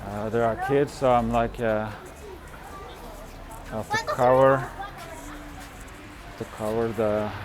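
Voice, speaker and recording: A man from Turkey, chatting casually about his travels in a halting way, with many fillers and restarts. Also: medium pitch, steady tone, background noise. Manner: halting, full of "you know" and "like" fillers. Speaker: touring musician and vlogger. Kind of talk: casual chat.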